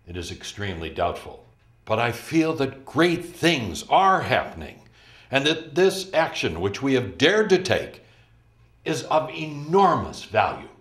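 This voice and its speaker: A man's voice: medium pitch, big pitch swings, unhurried, a bit bright. An older man in a dramatic reading, playing a grave, resolute resistance commander. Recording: roomy echo, faint music behind.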